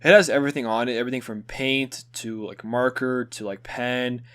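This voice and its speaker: A young man whose first language is Hungarian talks through his school video project. His voice sits at an ordinary middle pitch, and he speaks loosely and casually, dropping in little fillers as he goes. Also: normal speed, smooth. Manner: relaxed, conversational, with filler words.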